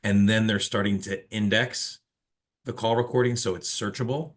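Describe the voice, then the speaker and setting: A man's voice: on the low side, steady tone, normal speed. A man hosting an informal business webinar, explaining things and putting questions to a colleague. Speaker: webinar host of a telecom company.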